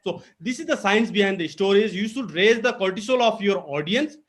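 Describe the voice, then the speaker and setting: A man with a Nepali accent leads a public speaking webinar over a video call. His voice sits notably high for a man. He presses his points home emphatically and repeats them. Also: lively intonation, a little fast. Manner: emphatic, repetitive teaching delivery with code-switching. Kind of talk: webinar lecture.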